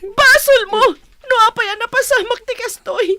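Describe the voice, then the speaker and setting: A woman's voice: extremely high pitch, moderate pace, background noise. A woman with a Filipino Ilocano accent acting a grieving, bitter elder sister who blames her brother in a radio drama, accusing and tearful. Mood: angry, blaming.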